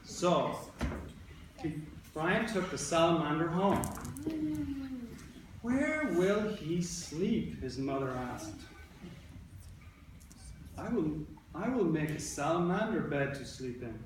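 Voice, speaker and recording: A man with a fairly high voice teaches young children through an interactive read-aloud. He instructs, rephrases and puts questions to his listeners. The recording is distant and strongly reverberant, with clear background noise. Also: lively intonation, takes it slowly, pauses often. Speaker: elementary school teacher. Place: indoor classroom. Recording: roomy, echoey, distant mic with background noise.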